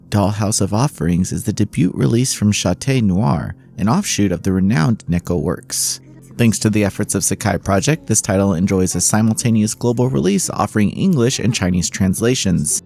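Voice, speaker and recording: Guy doing a scripted game review, creaky mellow voice, formal, music behind. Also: fairly low pitch. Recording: voice-over with background music.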